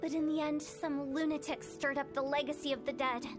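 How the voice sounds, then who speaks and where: A woman's voice: high-pitched, fairly even intonation, fairly quick, natural voice. A woman voicing a playful, mischievous young funeral parlor director who tells old tales in a game. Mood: solemn. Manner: teasing, then solemn storytelling. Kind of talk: game cutscene voice acting. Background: game music.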